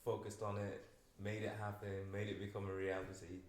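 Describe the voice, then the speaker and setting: A man's voice: fairly low pitch, level tone, fairly quick. A young man hosting a podcast, chatting in a laid-back, rambling way and filling his talk with "like.". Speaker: podcast host. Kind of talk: casual podcast chat. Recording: roomy room with faint noise.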